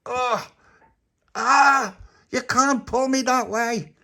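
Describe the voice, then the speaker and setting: A man voicing a hurt, indignant little boy in a storytelling, with strained whining and drawn-out complaints. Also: high voice, fairly bright tone, pitched above the performer's own voice. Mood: wailing in pain.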